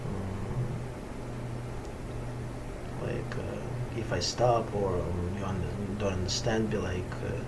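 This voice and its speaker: A middle-aged man with a Russian accent talks casually to camera as a yoga teacher, meditative and halting as he searches for words. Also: low voice, fairly even intonation. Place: small indoor room at home ashram. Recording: distant mic, clearly noisy room sound.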